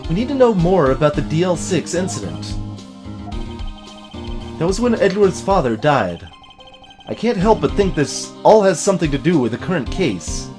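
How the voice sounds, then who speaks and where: A man plays an earnest young lawyer in a video game, worried and questioning. His delivery is expressive with wide pitch movement, over background music. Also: moderate pace, long pauses. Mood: pleading. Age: 20s. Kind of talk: voiced video game let's play.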